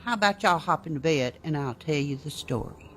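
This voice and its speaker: An actor as a folksy bedtime storyteller, mid-pitched. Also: animated, brisk, fairly bright tone, slight background noise, close to an everyday voice. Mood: gentle, patient. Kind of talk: audio drama acting.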